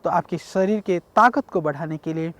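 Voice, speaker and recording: High-voiced Indian man teaching ayurveda. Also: a bit bright. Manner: measured, explanatory, addresses viewers directly. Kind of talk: spoken health talk.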